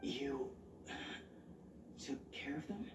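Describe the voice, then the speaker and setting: A bitter young game experimenter, speaks in a mid-pitched voice. The delivery is weary, halting and defensive, caught from afar with echo and noise. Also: lively intonation. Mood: shaken, suspicious. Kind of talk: scripted video game acting. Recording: distant, echoey, noisy game playback.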